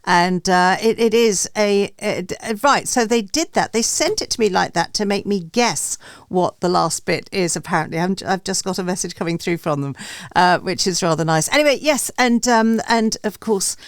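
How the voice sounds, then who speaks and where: A woman's voice: mid-range voice, normal speed, clean tone, dry recording, clean studio sound. A woman hosting a music show in casual radio chat, talking in a chatty, digressive way.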